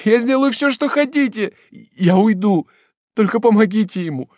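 Desperate sobbing young woman, played by a male reader: high-pitched, frantic, begging, storybook audiobook. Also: normal speed, creaky, a little mellow, no room sound, studio quality. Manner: shrill, tearful, pleading. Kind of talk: audiobook character voice.

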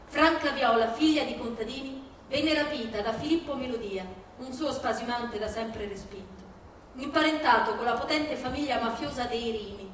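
High-voiced woman reading in an echoey space. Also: slight background noise. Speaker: stage performer reading narration and testimony. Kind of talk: scripted dramatic reading in a theatre reading-concert. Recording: echoey room, distant mic, music behind. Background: live music.

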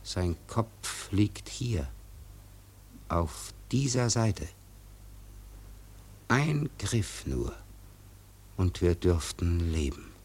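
Brooding, conscience-torn sailor: low register, drawn-out deliberate delivery, grave, literary radio drama. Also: male voice, big pitch swings, darkish tone, breathy edge, heavily acted. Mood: brooding, agonised. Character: brooding, tormented sailor weighing a killing.

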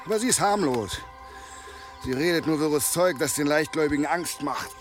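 A man's voice: a little high, animated, normal speed, over music, lightly acted. An actor playing a down-to-earth, sceptical village man in an audio drama, wry and matter-of-fact. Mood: wry, joking. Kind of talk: German audio drama acting.

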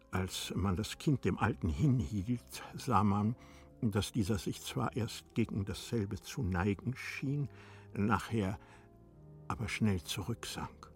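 A man reading literary narration for a radio drama in a measured, deliberate manner with dramatic pauses. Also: lowish voice.

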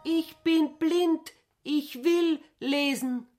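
A woman's voice: high-pitched, extremely slow, darkish tone, faint music behind. A woman playing a plaintive, eerie childlike voice in a literary radio drama.

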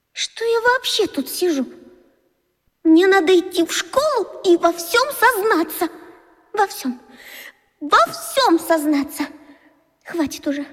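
A woman's voice: extremely high pitch, big-room sound. A woman playing an anxious, guilty and defensive young schoolgirl in a children's radio play, speaking with agitation. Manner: agitated, emotional.

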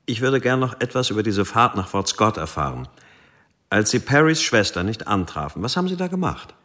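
A hard-pressing detective in a radio play. His pitch swings widely as he leans on each point, and he spaces his accusations for weight. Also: a little fast. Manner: lively, emphatic, building pressure. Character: sharp, confrontational male lead investigator. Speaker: police detective. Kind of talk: radio drama acting.

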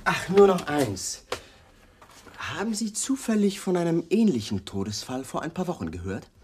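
A man's voice: a little high, very animated, moderate pace, darkish tone, a little hiss or hum. A man acting in a 1980s German radio crime play as a persistent police detective, coolly polite and suspicious, putting pointed questions. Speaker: actor in a radio crime drama.

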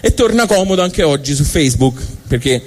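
A man from Tuscany with a Tuscan accent, performing a comic storytelling monologue in a halting, colloquial way, often interrupting himself. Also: on the high side, lively intonation, darkish tone. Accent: Tuscan Italian. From Tuscany, Italy. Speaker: stand-up monologist.

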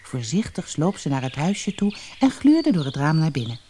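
A woman storyteller giving an expressive, scripted fairy-tale narration. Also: low-pitched, normal speed, slight background noise.

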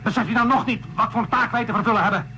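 Stubborn astronaut, very high sharp voice, argumentative, radio drama, faint noise behind. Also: male voice, fast. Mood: angry, defiant. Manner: argumentative, declamatory. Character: stubborn, hot-headed male astronaut, determined to fight.

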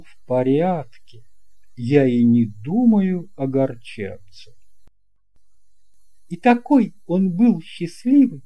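Slow, very mellow storytelling narrator. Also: medium pitch. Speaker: audiobook narrator. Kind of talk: scripted children's story narration.

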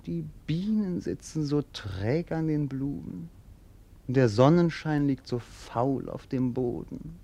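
A melancholic young prince in a classic radio play. His fairly high voice swings widely in pitch, whimsical and world-weary, with mocking theatrical irony. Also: animated, slow, creaky, mellow, heavily acted. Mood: world-weary, brooding.

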